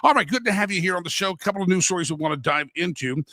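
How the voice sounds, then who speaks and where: A man's voice: sits high, expressive, rapid-fire, bright, forceful tone, no echo, studio quality. A man hosting a casual political talk show, stuffy and a little sore from a head cold, talking in a folksy, chatty way with many asides. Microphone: studio microphone.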